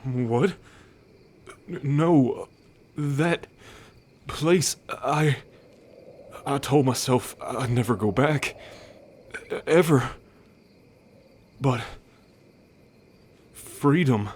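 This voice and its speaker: Man playing a slow-talking, guarded bull man. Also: mid-range voice, a little mellow, breathy edge, exaggerated voice. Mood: shaken, torn. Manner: halting, guarded, hesitant delivery. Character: wary, wounded male half-blood bull man. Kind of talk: ASMR audio roleplay acting.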